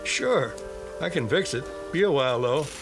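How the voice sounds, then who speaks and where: A man playing a laid-back small-town garage mechanic in a radio drama, folksy and unhurried. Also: medium pitch, expressive, over music, natural voice. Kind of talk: radio drama character dialogue.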